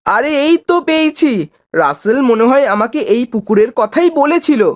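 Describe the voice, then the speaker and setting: Lady doing a naive village lad in a cartoon, high voice, super animated, exclaims a lot. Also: moderate pace, a little hiss or hum. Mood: surprised. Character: young village man, naive, excitable fish farmer. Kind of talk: cartoon voice acting.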